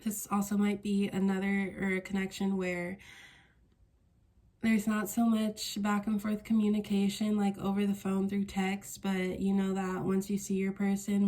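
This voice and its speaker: A stuffy-nosed woman hosts a casual tarot reading in a mellow, flat tone. She meanders, searching for words and revising herself mid-thought. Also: medium pitch. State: congested, stuffy nose. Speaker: tarot card reader.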